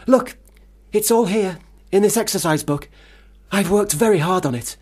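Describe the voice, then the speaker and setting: An actor in a radio play, a man, playing a failed, self-pitying young gentleman writer with formal, wordy diction. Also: sits high, normal speed, no echo. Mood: boyish, boastful. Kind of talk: radio play monologue and dialogue.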